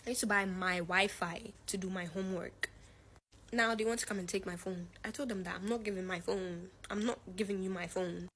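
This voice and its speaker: A woman's voice: medium pitch, steady tone, fairly quick, a bit bright, a little hiss or hum. A teenage young woman telling a personal story in a candid, blunt, emphatic way, repeating phrases and using slang. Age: teens, 17. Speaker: student telling own story.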